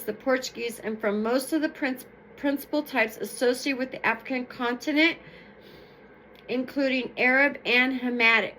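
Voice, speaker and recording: A woman reading stories aloud in an expressive, emphatic, explanatory way. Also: a little high, a little hiss or hum, rough recording. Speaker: storyteller reading folktales aloud. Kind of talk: expressive read-aloud storytelling with informal explanatory asides.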